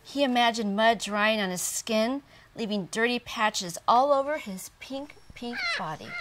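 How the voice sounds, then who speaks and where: A woman narrating a children's picture book in a deliberate, expressive storytelling manner. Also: a little high. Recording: distant from the microphone.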